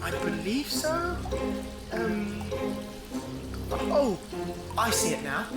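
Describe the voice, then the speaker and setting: A small young marten lad, played by a woman, earnest, polite and hesitant. The delivery is slow, as in a storybook audio drama, with loud music underneath. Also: mid-range voice, big pitch swings. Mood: uncertain.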